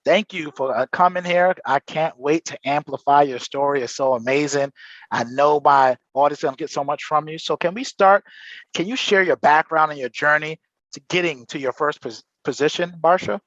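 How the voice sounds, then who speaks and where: A man's voice: a little high, moderate pace, fairly bright tone, no echo. A man hosting an interview, effusive and full of praise for his guest. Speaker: host. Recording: dry, dull lo-fi sound.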